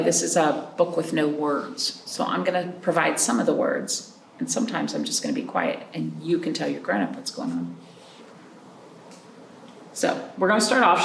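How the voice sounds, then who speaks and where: A woman hosting a storytime for young children, reading expressively with drawn-out phrases and pausing to put questions to her listeners. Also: on the low side, creaky. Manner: prompting listeners with questions and pauses. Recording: roomy, distant mic, faint noise.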